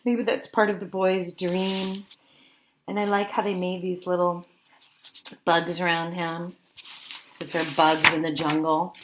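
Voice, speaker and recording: Slow-paced woman reading to young children. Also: mid-range voice, a little hiss or hum. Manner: childlike-simple, questioning, exclaiming with gasps and asides. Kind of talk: picture-book read-aloud with questions and commentary.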